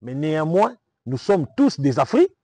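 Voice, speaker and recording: A man's voice: a little high, big pitch swings, normal speed, warm tone, no room sound. A man with a Congolese French accent from Kinshasa, a self-styled prophet answering interview questions in a preaching manner, emphatic and repetitive, often asking "vous comprenez?".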